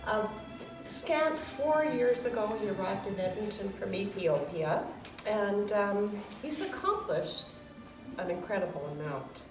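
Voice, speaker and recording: Female presenter, animated intonation, hesitant phrasing, introducing a speaker from a distant mic with music behind. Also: medium pitch, unhurried, roomy sound. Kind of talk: speech of introduction. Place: room at a public event.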